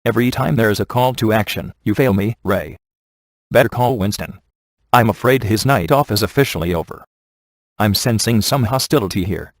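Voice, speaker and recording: A man's voice: fairly low pitch, normal speed, dry recording. A man playing a wisecracking, laid-back ghost hunter in a video game, with a dry, sardonic and glib delivery. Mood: dry sarcasm. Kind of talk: scripted video game character dialogue.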